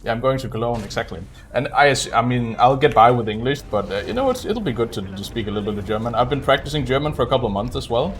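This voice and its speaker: A man giving casual, halting live commentary as he streams a video game speedrun. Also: mid-range voice, lively intonation, slight background noise.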